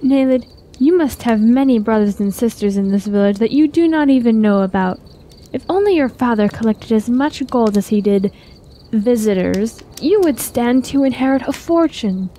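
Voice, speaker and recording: An actress playing a sly, gently teasing young village woman with formal phrasing in a fantasy radio drama. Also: a little high, lively intonation, moderate pace, close to an everyday voice, poor audio. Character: sweet-voiced, teasing young village maiden with sly wit.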